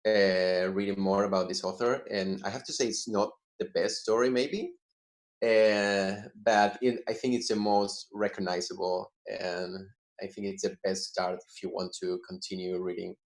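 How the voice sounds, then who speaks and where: A man giving his opinion casually in a book discussion on a video call, often searching for words. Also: low-pitched. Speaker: book club participant.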